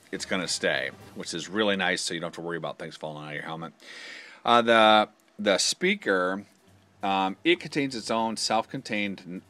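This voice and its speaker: A man's voice: low-pitched, pushed and bright. A man casually explaining a product step by step in an informal hands-on demonstration, pointing out parts as he goes.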